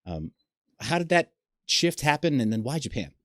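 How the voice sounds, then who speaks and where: A man's voice: mid-range voice, sing-song, moderate pace, a bit bright, no echo, clean studio sound. A man hosting an interview, asking questions hesitantly and stumbling over his wording.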